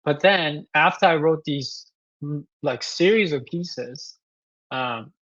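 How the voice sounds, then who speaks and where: Korean-accented male composer, slightly high voice, reflective interview guest, dry close sound. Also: slow, fairly bright tone, no echo, lo-fi sound. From South Korea. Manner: thoughtful, many fillers and restarts. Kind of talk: interview answers.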